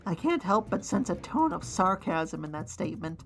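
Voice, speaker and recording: A woman voicing a wry, scheming villain inventor in an animated fan dub, dry and smug. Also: fairly low pitch, moderate pace, music in the background.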